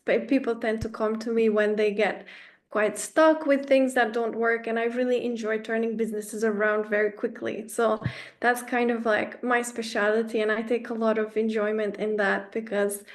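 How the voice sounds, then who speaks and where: A young woman from Romania leading an online workshop, chatty and self-effacing, with many fillers and restarts. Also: on the high side. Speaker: workshop facilitator.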